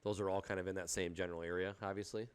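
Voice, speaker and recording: A man's voice: low-pitched, level tone, racing, clear, resonant tone. A man with a head cold, sounding stuffy, hosting a podcast with easygoing banter and chuckles. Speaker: podcast host. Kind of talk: casual chat.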